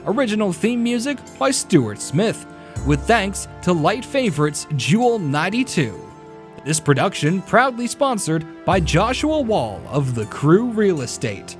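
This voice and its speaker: Male announcer, scripted show credits, animated intonation, slightly high pitch, music behind. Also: a little slow.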